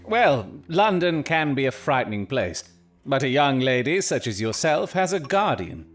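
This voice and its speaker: A proud, brilliant Victorian gentleman detective in an audio drama. His speech is formal and eloquent, with theatrical, emotional swings in pitch. Faint music sits behind the voice. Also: very animated, moderate pace. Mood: courteous, probing.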